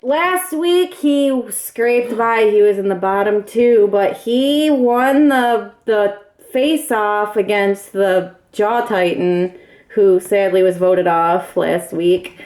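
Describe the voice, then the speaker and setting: An excitable parody game-show host, played by a woman, announces in a slow, halting, hammy way. The voice bounces around an echoey room. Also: medium pitch, lightly acted. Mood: excited announcing. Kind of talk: mock TV competition presenting.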